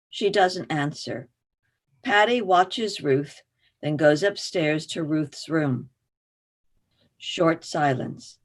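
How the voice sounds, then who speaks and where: A woman reading stage directions as scripted narration for a play reading. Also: lowish voice.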